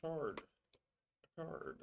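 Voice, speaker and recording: A man's voice: extremely slow, a little hiss or hum. A man playing and hosting a board game session in casual, conversational talk. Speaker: host and player in a board game livestream. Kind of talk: casual tabletop play chat.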